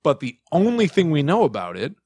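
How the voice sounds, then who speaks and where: A man's voice: fairly high pitch, wildly swooping pitch, fairly quick, darkish tone, slightly rough, dry recording. A man co-hosting a podcast in casual chat, thinking aloud as he talks. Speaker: podcast co-host. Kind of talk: casual chat about movies and the paranormal.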